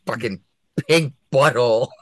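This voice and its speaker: A man's voice: mid-range voice, measured pace, a bit bright, no echo. A man co-hosting a casual political chat show, crude and joking, laughing at his own jokes. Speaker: podcast co-host.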